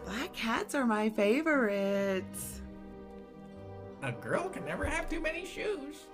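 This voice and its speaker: A woman voicing excitable, squabbling storybook witches in a shrill, creaky, exclaiming voice. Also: slow pace, music in the background. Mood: delighted.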